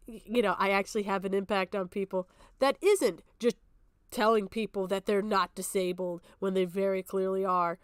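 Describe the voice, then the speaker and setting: A woman's voice: mid-range voice, a bit bright, clear, resonant tone, no echo, studio quality. A woman streamer giving live reaction commentary in a combative, sarcastic way, swearing heavily.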